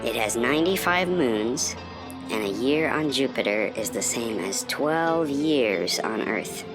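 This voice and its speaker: A quirky little cartoon astronaut , as a woman voices it, tours the planets like a student on vacation. The voice sits remarkably deep and takes its time, breaking into sudden high, squeaky exclamations. Music plays clearly underneath. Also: expressive, a little slow, poor audio. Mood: cheerful. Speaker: guide narrator. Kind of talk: animated educational cartoon.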